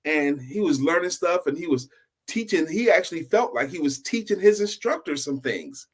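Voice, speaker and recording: A man hosting a story show, telling a tale in a casual, animated, improvised way and repeating phrases for emphasis. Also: fairly high pitch, moderate pace, breathy edge.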